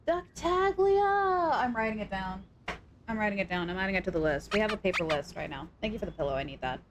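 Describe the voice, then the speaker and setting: A young woman with a sore throat chatting casually and excitably on a live stream, scatterbrained and full of gasps. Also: medium pitch, a little fast, background noise. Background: background music.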